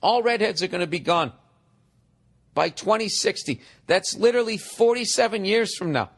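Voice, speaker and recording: A man in his forties hosts a comedy podcast, mocking a listener's email. His voice sits high for a man, and the talk stays sarcastic, exasperated and heavy on profanity. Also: animated, normal speed. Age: 40s. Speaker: podcast host.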